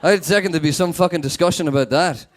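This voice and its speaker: A young man from Northern Ireland with a Northern Irish accent, performing a stand-up set with a sweary, deadpan manner. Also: on the high side, fast talker. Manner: sweary, animated, deadpan asides. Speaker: stand-up comedian.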